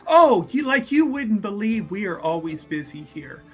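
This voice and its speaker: A man's voice: fairly high pitch, a little slow, a bit bright, soft background music. A man voicing an evasive, obsequious university cult leader in a tabletop game, stammering in wordy, fawning formality. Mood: fawning, boastful.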